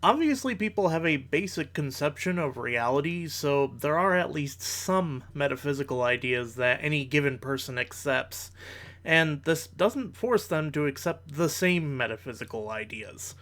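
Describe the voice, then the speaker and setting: A man giving argumentative reaction commentary in a measured, analytical way with formal wording. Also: a little high, lively intonation, a bit bright.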